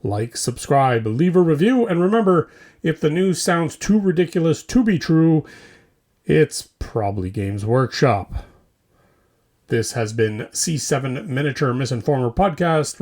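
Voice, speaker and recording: Animated, higher-pitched male comedy podcast host. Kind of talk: comedic scripted narration, mock news delivery.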